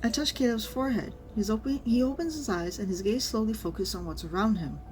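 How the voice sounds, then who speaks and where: A woman streamer reading a game story aloud and reacting casually, in an expressive manner. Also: a little high, fast talker, background noise.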